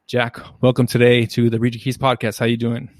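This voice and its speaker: A man hosting an interview podcast in a mid-pitched, fairly monotone voice. He talks haltingly and keeps searching for the right words. The sound is dry and close, with no room echo. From United States. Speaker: podcast host.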